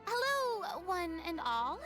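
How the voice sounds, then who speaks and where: A woman's voice: extremely high pitch, big pitch swings, slow, sharp tone, heavily acted. An actress playing a proud, theatrical young woman who is flustered and stammers, then turns formal, in a game. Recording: game audio with faint music.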